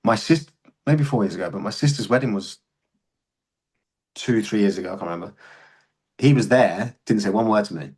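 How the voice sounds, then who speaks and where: A man's voice: on the low side, a little fast, long pauses, darkish tone, husky. A young man, a podcast guest and serving soldier, telling candid stories in a casual chat and swearing freely.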